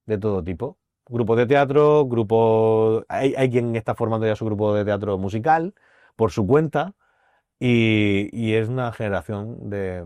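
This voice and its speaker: Murcian-accented man, low register, voice teacher explaining and repeating his points, dry studio sound. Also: a little mellow, no echo. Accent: Murcian Spanish. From Murcia, Spain. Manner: thoughtful, circling back to repeat key phrases. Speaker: voice teacher and actor. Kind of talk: podcast interview, explaining.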